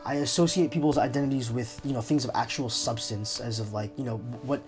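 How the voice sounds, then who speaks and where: A man's voice: medium pitch, brisk, a little mellow, soft background music. A young man speaking candidly and reflectively in an interview, often hesitating as he searches for his words. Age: young adult. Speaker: interview subject.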